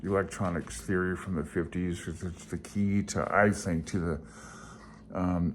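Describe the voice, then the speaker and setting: A man's voice: deep voice, a little hiss or hum. A man hosting a hobby channel, talking in a casual, rambling way with a folksy, self-deprecating manner. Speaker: hobbyist radio channel host. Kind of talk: casual rambling vlog talk.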